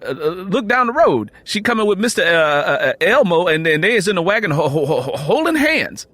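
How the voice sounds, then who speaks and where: Man doing a high-pitched stammering boy. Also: sing-song, a little fast, a bit bright, no room sound, full character voice. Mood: eager, snickering. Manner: heavy stammer, repeating sounds and words. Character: groggy stuttering boy who tells everything he knows.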